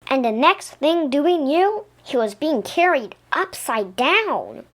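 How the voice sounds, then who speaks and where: A woman reading a children's story aloud as an expressive narrator. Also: high voice, slow pace. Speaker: storybook reader.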